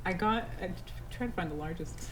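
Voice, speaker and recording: A woman's voice: fairly low pitch, brisk, a crowd in the background. A woman co-hosting a podcast, chatting casually and informally in group commentary. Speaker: podcast co-host. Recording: music playing behind. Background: music.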